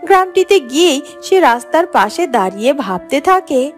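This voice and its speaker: High-voiced woman storyteller. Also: sing-song, moderate pace, soft background music. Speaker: narrator. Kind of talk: scripted children's fairy tale narration.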